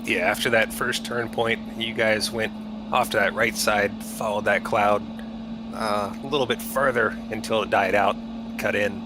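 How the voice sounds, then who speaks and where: A man's voice: on the low side, fairly even intonation, measured pace, very noisy. A man chatting casually and joking with fellow pilots over voice comms during a glider sim race.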